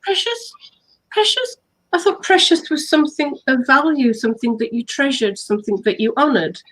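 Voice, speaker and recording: Chatty English woman, high voice. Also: moderate pace, fairly bright tone, low-quality recording. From England. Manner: chatty, playful, storytelling. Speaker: author and hypnotherapist co-host. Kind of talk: casual online chat.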